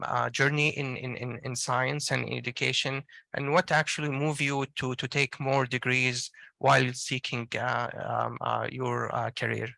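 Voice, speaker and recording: Creaky, halting man with an Arabic accent. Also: medium pitch, sharp tone, dry recording. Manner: halting, hesitant, repeats words. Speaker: moderator of a public discussion. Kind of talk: informal spoken introduction and questioning.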